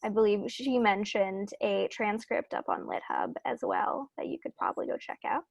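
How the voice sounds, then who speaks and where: A woman's voice: mid-range voice, huge pitch swings, moderate pace, creaky, dry recording, muffled recording. A woman hosting a virtual book event over a video call, giving introductions in an informal, matter-of-fact way. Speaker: bookstore event host.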